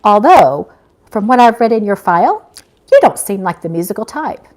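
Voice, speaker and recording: A woman's voice: medium pitch, very animated, normal speed, close to an everyday voice. A woman reading a story, voicing a prim, impatient older female angel mentor who instructs snippily. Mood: prim, instructive. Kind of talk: live audiobook-style reading.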